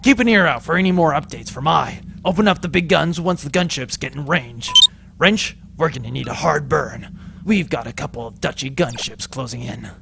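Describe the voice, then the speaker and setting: A man acts out a dutiful, sardonic commander aboard a pirate starship. His delivery is brisk, military and dry, with a gravelly fry in an audio drama style. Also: a little high, expressive, noisy background. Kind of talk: space adventure audio drama acting.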